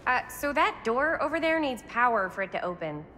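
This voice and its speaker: A woman's voice: on the high side, sing-song, a little fast, sharp tone. A woman playing an eager, breezy young explorer in a video game, with an American accent. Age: young adult. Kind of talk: naturalistic game cutscene acting. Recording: echoing hall, noisy ambience.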